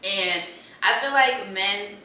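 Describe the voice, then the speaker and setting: A woman's voice: mid-range voice, slow pace, bright, forceful tone. A young woman co-hosting a podcast in a laid-back, slangy casual chat, often laughing. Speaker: podcast co-host. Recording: echoey room, mic at a distance, faint background noise.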